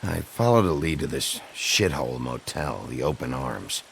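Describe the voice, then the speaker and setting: A man playing a gruff, weary detective in a dark fantasy video game, blunt and terse. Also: expressive, moderate pace, heavily acted. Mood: grim. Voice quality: gravelly. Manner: blunt, low-key, terse. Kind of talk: dramatic video game acting. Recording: faint rain noise behind the voice.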